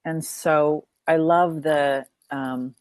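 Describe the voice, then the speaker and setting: A woman's voice: deep voice, fairly even intonation, very slow, darkish tone, no echo. A woman counselor speaking as a podcast guest, earnest and affirming, often repeating phrases as she builds a point. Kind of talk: podcast interview.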